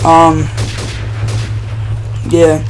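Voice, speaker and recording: A man's voice: fairly high pitch, completely flat delivery, extremely slow, background noise. A young man telling a rambling, profane story casually while playing a shooter game. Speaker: video host. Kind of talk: casual storytelling over gameplay. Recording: loud game music and gunfire behind. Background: shooter game music, gunshots, vehicles.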